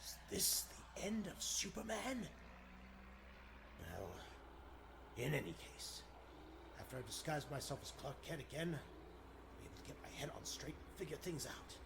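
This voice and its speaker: A man's voice: fairly high pitch, big pitch swings, moderate pace, gravelly, long pauses, background noise, voice pushed down. A man playing an earnest, troubled superhero thinking aloud in the melodramatic style of 1940s radio drama. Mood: troubled brooding. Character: heroic male superhero, righteous, troubled and straining.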